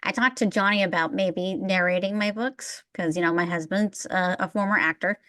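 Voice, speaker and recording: A woman's voice: mid-range voice, steady tone, moderate pace, a bit bright, no echo, clean studio sound. A woman hosting a podcast, chatting casually and explaining things at length. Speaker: podcast host.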